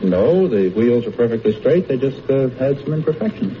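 A man's voice: mid-range voice, big pitch swings, normal speed. A man from Ohio, a quiz show contestant, giving easygoing, good-humored answers. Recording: dull old radio broadcast with hiss.

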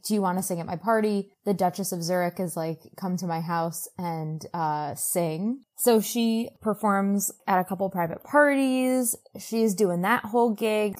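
A woman's voice: mid-range voice, unhurried, hardly any pauses. A woman co-hosting a podcast, recapping a book in casual, wry, colloquial chat with playful exaggeration. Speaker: podcast co-host.

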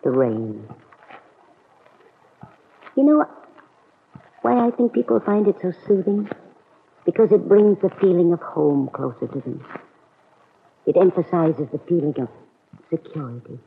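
Kindly aunt on tinny old radio. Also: female voice, lowish voice, lively intonation, unhurried, gravelly, long pauses, slightly rough, full character voice. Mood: gentle, chatty. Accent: American. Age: middle-aged. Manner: soothing, genteel, reflective. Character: kindly, concerned middle-aged aunt, gentle and motherly. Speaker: radio actress. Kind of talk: classic American radio serial acting. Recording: noisy, narrow-band old radio recording.

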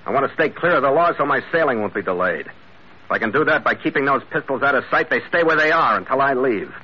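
An actor playing a tough, stubborn sea captain in classic radio drama, with a terse, sardonic manner. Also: male voice, medium pitch, animated, fast talker, breathy edge. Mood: stubborn, wry. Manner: terse, sardonic, hard-boiled. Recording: muffled old radio broadcast.

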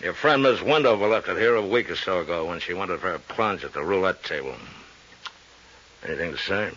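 An actor playing a shifty, world-weary gambling boss and former bootlegger in a 1950s radio drama, gruff, blunt and slangy, with an American accent. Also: male voice, sits low, brisk, fairly bright tone. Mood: shifty, confiding. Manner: blunt, slangy tough-guy talk. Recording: dull old radio recording.

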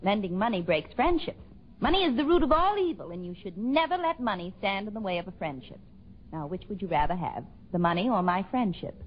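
A woman's voice: medium pitch, sing-song, fairly quick, lightly acted. A woman playing a haughty, romantic young woman being courted, in lofty 1940s radio comedy style. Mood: coolly dismissive. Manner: theatrical, lofty. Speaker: actress in comedy sketch. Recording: muffled old radio with faint noise.

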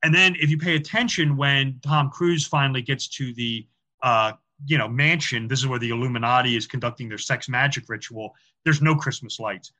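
A man gives a loose, talkative explanation, full of fillers and restarts. His voice is bright and forceful, at an ordinary middle pitch. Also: steady tone, normal speed. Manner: chatty, many "um" and "you know" fillers, repeats words. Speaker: podcast guest, author on film symbolism. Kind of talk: casual interview talk.

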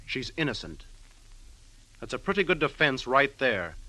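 Stern, bright-voiced male lawyer. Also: medium pitch, sing-song, normal speed, rough recording. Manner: blunt, firm, clipped. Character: stern, blunt male assistant district attorney in love. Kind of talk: old-time radio acting.

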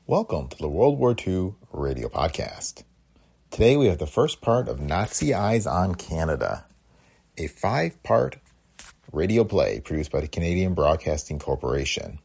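A man's voice: sits low, very animated, normal speed, gravelly, a little mellow, breathy edge. A man hosting a podcast, reading a scripted introduction. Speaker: podcast host.

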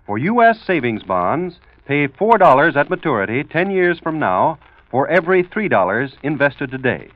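A man's voice: a little high, very animated, moderate pace, no room sound. A man reading scripted copy as a 1940s radio announcer, polished and emphatic. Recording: muffled old broadcast with music.

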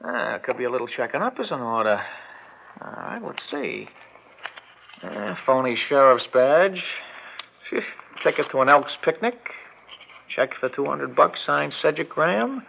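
An actor playing a brash, street-smart small-time crook who talks in slang, in a 1940s radio drama. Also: male voice, mid-range voice, big pitch swings, unhurried, vocal fry, pauses often. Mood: muttering. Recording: muffled old radio broadcast with faint noise.

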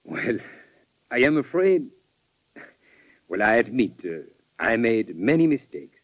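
An actor playing a courteous French envoy with a French accent, speaking in formal, halting English in a 1940s radio drama. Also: male voice, mid-range voice, sing-song, no room sound. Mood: earnest. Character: French envoy, courteous, proud, newly humbled. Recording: tinny old radio broadcast.

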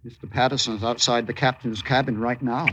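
A man's voice: mid-range voice, fairly even intonation, fairly quick, background noise, natural voice. An actor playing a meek but cunning teenage cabin boy in an old-time radio drama, speaking politely and earnestly. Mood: innocent, sly.

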